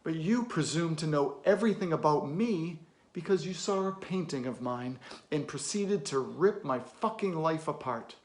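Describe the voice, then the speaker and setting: Man acting a wounded, blunt therapist, creaky voice. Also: mid-range voice, unhurried, pauses often. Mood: blunt, stern. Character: weary, wounded, blunt middle-aged male therapist. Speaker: actor. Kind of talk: dramatic film monologue performance.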